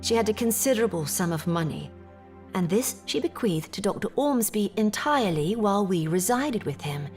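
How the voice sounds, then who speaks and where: Actress as Victorian gentlewoman, young, troubled, formal measured storytelling, audio drama, musical backing. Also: mid-range voice, expressive, soft background music, close to an everyday voice. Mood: grave, composed. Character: distressed Victorian English gentlewoman recounting family misfortune. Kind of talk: period audio drama acting, narrative monologue.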